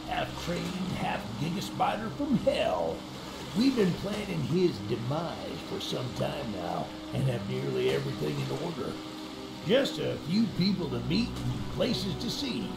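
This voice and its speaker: Casting: folksy old monster hunter for a game cutscene, eager and friendly, gravelly, very high for a man, roomy echo. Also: a little slow, heavy background noise, full character voice. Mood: conspiratorial, inviting. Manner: folksy, animated, theatrical. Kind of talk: video game cutscene acting. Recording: roomy echo with music underneath.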